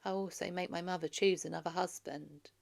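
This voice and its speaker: A woman's voice: lowish voice, steady tone, fairly quick, gravelly. A woman reading the part of a grieving, earnest young prince who pleads emphatically, like an orator, in a storybook. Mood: resolute.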